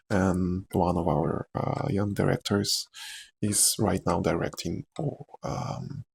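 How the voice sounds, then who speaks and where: A young adult man with a Slavic accent, speaking softly and candidly in a rambling, casual ASMR chat, with frequent fillers and quiet laughs. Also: low voice, creaky, slightly rough. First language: Czech or another Slavic language. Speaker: actor.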